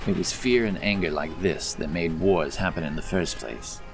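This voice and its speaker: Deep male narrator, scripted fantasy storytelling, audio drama, light music underneath. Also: moderate pace, creaky.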